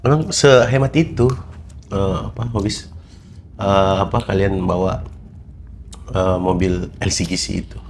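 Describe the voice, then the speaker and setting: A man's voice: sits low, steady tone, unhurried, long pauses, a little hiss or hum. A young man from Indonesia hosting his channel, chatting casually and rambling with many fillers while snacking. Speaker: vlog host. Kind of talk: casual chat.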